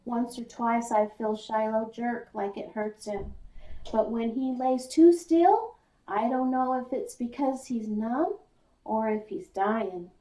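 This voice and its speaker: A woman reading a children's novel aloud in first person, with deliberate, expressive storytelling. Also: fairly high pitch. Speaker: audiobook reader, school speech paraprofessional. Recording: echoey room, slightly distant mic.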